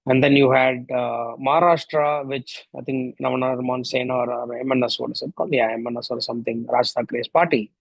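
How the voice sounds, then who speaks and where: A man's voice: mid-range voice, steady tone, brisk, vocal fry, hardly any pauses, dry recording, poor audio. A middle-aged man from India with an Indian accent and Tamil as his first language, giving a reflective solo podcast monologue full of rhetorical questions. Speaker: podcast host.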